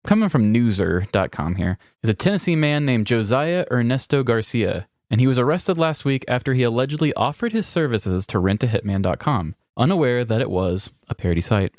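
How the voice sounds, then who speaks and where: A man's voice: mid-range voice, animated, fairly quick, few pauses, a little mellow, dry recording. Informal male podcast co-host, dry close sound. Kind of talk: casual chat and reading news articles aloud.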